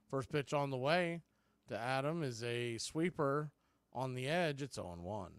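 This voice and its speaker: A man's voice: on the high side, fairly bright tone, clear, resonant tone, no echo. A man doing radio baseball play-by-play as the announcer, speaking in short, clipped phrases.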